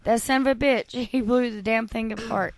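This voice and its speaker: A young woman with a Southern accent, hoarse and losing her voice, reading a bawdy poem and laughing through it. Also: on the high side, steady tone, normal speed. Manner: giggly, brash, laughs mid-line. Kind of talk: crude casual banter and bawdy poem reading.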